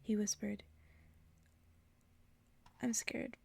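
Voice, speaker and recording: A woman speaking in a soft, hushed whisper in the style of an ASMR bedtime reading. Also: medium pitch, flat delivery, extremely slow, creaky, slightly rough, no echo. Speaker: ASMR creator reading stories to listeners.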